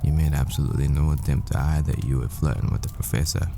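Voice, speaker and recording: A man playing a grieving, devoted professor boyfriend at the world's end in an intimate audio roleplay, speaking in hushed, halting, brooding phrases. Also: rumbling low, creaky, noisy background. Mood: teasing, tender. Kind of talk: intimate ASMR boyfriend roleplay, dramatic monologue. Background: music.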